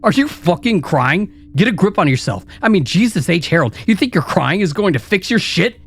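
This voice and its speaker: A man's voice: fairly low pitch, expressive, fairly quick, darkish tone, slightly rough, soft background music. Man voicing a vicious sneering inner critic. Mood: raging. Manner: mocking, taunting, vicious. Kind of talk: dramatized horror story narration.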